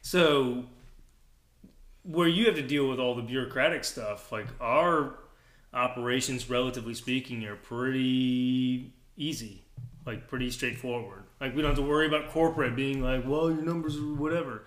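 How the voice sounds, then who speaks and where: A man's voice: mid-range voice, normal speed, fairly bright tone, roomy sound. A man hosting a podcast, chatting casually with frequent laughs and restarts. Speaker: podcast host, gym owner. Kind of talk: casual chat.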